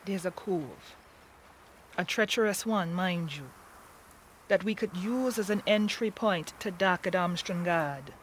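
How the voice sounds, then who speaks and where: Slow-spoken sea captain played by a woman, wry, profane and commanding, fantasy audio drama. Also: fairly low pitch, a little hiss or hum, lightly acted. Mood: businesslike. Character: tough, foul-mouthed woman boat captain of the seas.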